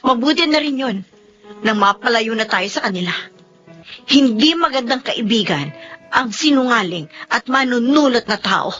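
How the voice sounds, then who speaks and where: A woman acting an expressive, opinionated wife in a Filipino radio drama, with a Filipino accent. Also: on the high side, moderate pace, dull audio, soft background music. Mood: indignant.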